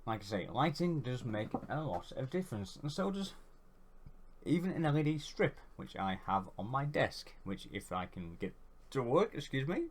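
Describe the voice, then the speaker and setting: A man with a head cold and a congested voice, giving a rambling, casual how-to vlog. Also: on the low side. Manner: rambling, chatty, self-deprecating. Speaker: YouTuber.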